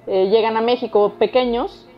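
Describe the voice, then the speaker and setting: A woman's voice: fairly high pitch, fairly even intonation, moderate pace, highs cut off, faint music behind. A woman from Mexico, a biologist interviewed in a documentary, speaking composed and matter-of-fact.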